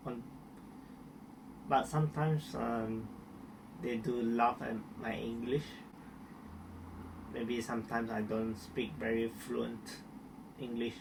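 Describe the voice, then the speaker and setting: A man's voice: medium pitch, flat delivery, takes it slowly, gravelly, pauses often, distant mic, noisy background. A young man from Malaysia with a Malaysian accent, answering interview questions in a hesitant way with many restarts and fillers.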